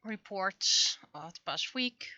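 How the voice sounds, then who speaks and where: A woman chatting casually on a livestream as an artist at work, speaking haltingly as she thinks aloud. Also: medium pitch, expressive, sharp tone.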